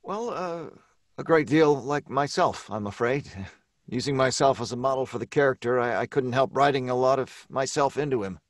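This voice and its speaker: A man's voice: medium pitch, normal speed, no room sound, lightly acted. Man acting a hesitant, weary radio-mystery novelist, close and dry. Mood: weary, self-deprecating. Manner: hesitant, stammering, self-deprecating. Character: nervous, weary, eccentric male mystery novelist.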